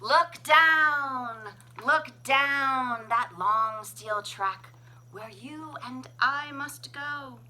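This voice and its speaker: A woman reading a children's picture book aloud as a librarian, with expressive, sing-song, deliberate phrasing. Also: sits high, poor audio. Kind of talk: children's storybook read-aloud.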